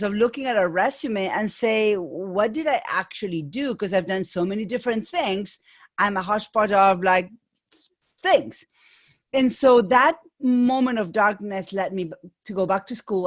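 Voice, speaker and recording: A middle-aged woman with an Argentine accent talks through her ideas as a guest on an interview show. Her pitch sits in the middle range, and she often restarts mid-sentence as she thinks, keeping a steady conversational pace. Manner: thoughtful, restarts often, light laughs. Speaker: guest, business coach.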